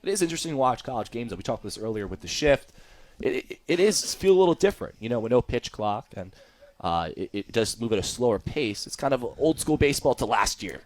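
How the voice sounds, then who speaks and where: A man's voice: medium pitch, lively intonation, quick pace, a little mellow. A young American man giving live color commentary on a baseball radio broadcast.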